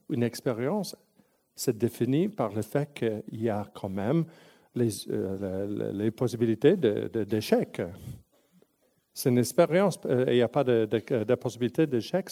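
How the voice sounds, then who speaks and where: A man's voice: medium pitch, lively intonation, a little slow, vocal fry, warm tone. A man from English-speaking Canada with an English Canadian accent tinged by Italian, giving a public lecture as a museum director and often searching for words and restarting. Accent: English Canadian, with Italian influence.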